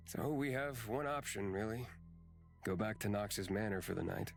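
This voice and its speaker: A man's voice: on the low side, normal speed, creaky, soft background music. A man voicing a grumpy, sarcastic young man in a visual novel, dry, cutting and impatient. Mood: resigned.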